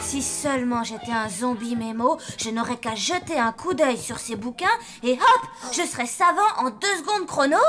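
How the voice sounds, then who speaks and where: A woman's voice: a little high, lively intonation, normal speed, few pauses, fairly bright tone, faint music behind. A woman voicing an eager, wistful schoolchild in a cartoon. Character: eager, wistful human schoolchild friend of zombie kids. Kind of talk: French animated series voice acting.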